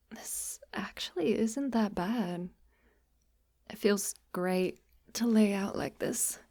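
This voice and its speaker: A shy young woman, played by a voice actress, speaks slowly and gently, with a soft, breathy tone that often slips toward a whisper. The sound is mellow, close and dry, like an intimate audio roleplay. She is affectionate and a little halting, as a best friend turning sweetheart. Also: mid-range voice, dry recording. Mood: tender, relaxed. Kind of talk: intimate roleplay ASMR, soft-spoken acting.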